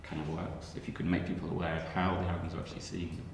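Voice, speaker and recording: Low male voice, monotone and quick, panel explainer; echoey hall. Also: slight background noise. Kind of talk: academic panel discussion. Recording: roomy hall with long reverb.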